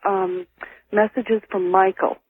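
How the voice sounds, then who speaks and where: A woman's voice: medium pitch, little pitch movement, takes it slowly, husky. A woman calling a radio show by telephone, chatting and putting questions to the guests. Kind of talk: conversational phone-in questioning. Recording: thin, tinny telephone line.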